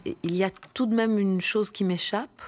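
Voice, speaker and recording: An actress playing a timid, deferential office employee who stammers, in a satirical radio drama. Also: female voice, mid-range voice, fairly even intonation, normal speed, darkish tone, a little hiss or hum, lightly acted. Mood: hesitant.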